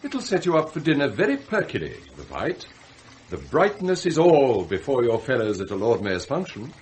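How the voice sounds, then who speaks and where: An actor playing a scheming, deferential English butler in a radio drama, formal, unctuous and theatrical. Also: male voice, mid-range voice, wildly swooping pitch, moderate pace, a little hiss or hum. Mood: fussily obliging. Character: scheming, outwardly deferential butler, secretly anguished and devoted. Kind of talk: radio drama acting, confessional narration and dialogue.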